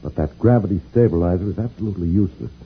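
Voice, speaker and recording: A man's voice: deep voice, racing, breathy edge, exaggerated voice. An actor playing a worried, diligent flight engineer, earnest and respectful to his superior, in an old-time radio serial. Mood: grave. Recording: tinny old radio recording, faint hiss.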